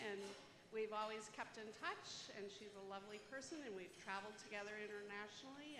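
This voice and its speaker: A woman, a past association president, answering a question on stage with fond recollection. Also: medium pitch. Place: conference hall. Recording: echoey hall with crowd.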